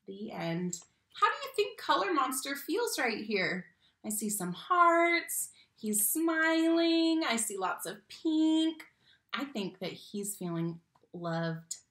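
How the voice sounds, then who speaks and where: Young woman, children's read-aloud host. Bright, expressive delivery with emphatic key words, roomy home recording. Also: fairly high pitch, a little hiss or hum. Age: young adult. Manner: animated, slow emphatic phrasing on key words, addresses children directly. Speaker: read-aloud teacher and storyteller. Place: small room at home, indoors. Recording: roomy home webcam sound.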